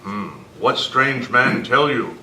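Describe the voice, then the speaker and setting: A stoic, literal-minded western sidekick, acted by a man in an old radio-style script. He talks in clipped broken English with a bright, forceful tone. The recording is distant and echoey, with some background noise. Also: mid-range voice, slow. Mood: thoughtful. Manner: clipped, broken English dropping articles and pronouns. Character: Tonto, stoic, literal-minded Native sidekick of a masked lawman. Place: club meeting room, live presentation. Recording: echoey, noisy room, distant mic.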